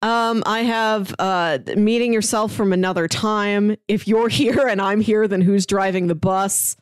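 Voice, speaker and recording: A woman's voice: medium pitch, fairly even intonation, a little slow, clean tone, no echo. A woman co-hosting a podcast in casual, unscripted conversation. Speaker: podcast co-host.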